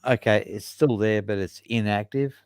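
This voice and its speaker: Easygoing older man, low smooth voice. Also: moderate pace, no echo, clean studio sound. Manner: easygoing, agreeable, says mate. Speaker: guest. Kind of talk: casual live-stream chat.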